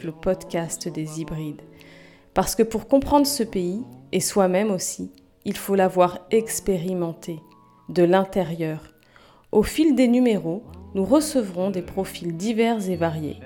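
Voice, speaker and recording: A woman's voice: lowish voice, measured pace, mellow, faint music behind. A woman hosting a podcast, reading scripted introductions and putting questions to a guest. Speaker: podcast host.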